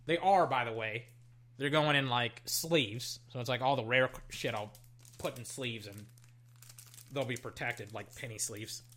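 A young adult, slightly hoarse, chatting casually while opening card packs at a desk, swinging between loud hype and low muttering and swearing freely. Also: unhurried. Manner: swears casually, trails off and restarts. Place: at a desk indoors.

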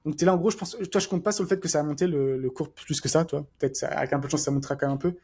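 A man's voice: a little high, racing, dark tone, slightly rough. A man chatting casually as a podcast co-host, rambling with lots of fillers and restarts. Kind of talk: casual chat.

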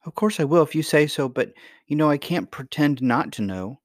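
A man's voice: on the high side, expressive, moderate pace, dry recording, clean studio sound, voice pushed up, lightly acted. A man voicing an eager, polite young princess who speaks earnestly in a storybook reading. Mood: doubtful, earnest. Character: eager, polite little princess, curious and easily puzzled. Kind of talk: acted dialogue in a children's fairy tale reading.

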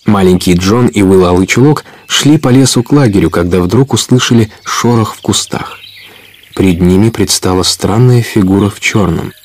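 A man's voice: on the low side, moderate pace, darkish tone. A man narrating an adventure story in a scripted radio play, as a storyteller.

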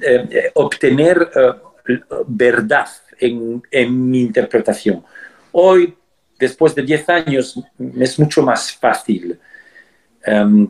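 A man answering interview questions as an actor, thoughtful and halting, often pausing and restarting his sentences. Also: medium pitch, a little mellow.

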